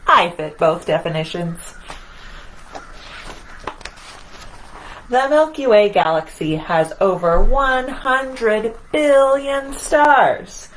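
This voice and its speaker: Boastful picture-book Sun, female reader acting: high, unhurried, showy and self-important, on a noisy recording. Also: lively intonation. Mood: proud, boastful. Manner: bragging, emphatic, theatrical. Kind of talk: children's picture-book read-aloud.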